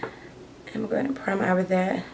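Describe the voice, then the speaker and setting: A woman's voice: mid-range voice, fast talker, creaky, over music, poor audio. A woman who has partly lost her voice, chatting casually as she does her makeup for a get-ready-with-me vlog. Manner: chatty, rambling, talks while doing makeup. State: hoarse, losing her voice. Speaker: beauty vlogger.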